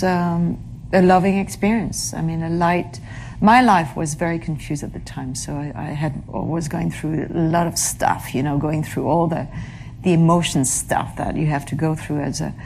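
A woman with a notably low voice answers interview questions in a reflective, halting way. She pauses, reaches for words and fills the gaps with small hesitations. A faint hiss sits under her voice. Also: fairly even intonation, gravelly, a little mellow. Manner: halting, searches for English words, many fillers. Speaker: actress being interviewed.

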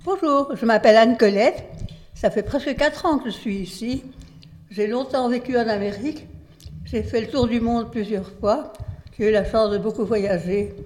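Older woman introducing herself brightly. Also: fairly high pitch, moderate pace, poor audio. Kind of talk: self-introduction.

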